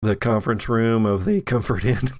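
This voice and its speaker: A man's voice: on the low side, normal speed, darkish tone. A man running a tabletop role-playing game as game master, improvising narration and putting questions to the players.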